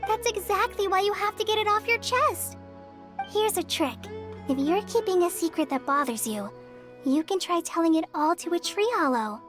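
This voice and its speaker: A woman voicing an earnest, kindly young trainee forest ranger in an anime game, gentle and reassuring. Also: extremely high pitch, expressive, normal speed. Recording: music under the voice.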